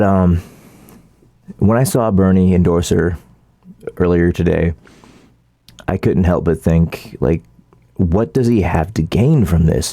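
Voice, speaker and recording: Deep, gravelly man talking politics casually. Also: dark tone, no room sound, clean studio sound. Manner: halting, informal, rambling. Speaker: political vlogger. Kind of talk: casual opinionated commentary.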